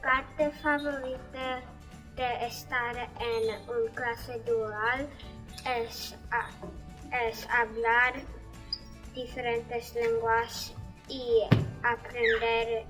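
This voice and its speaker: A student speaking hesitantly, with pauses. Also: female voice, fairly high pitch, level tone, fairly bright tone, soft background music.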